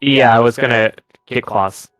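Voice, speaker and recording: A man's voice: medium pitch, steady tone, normal speed, fairly bright tone, no echo. A man talking casually as a player at a tabletop roleplaying game.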